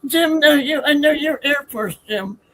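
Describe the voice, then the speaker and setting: A woman co-hosting a podcast interview, warm and enthusiastic, with some hesitations. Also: sits high, unhurried, rough recording. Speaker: podcast co-host.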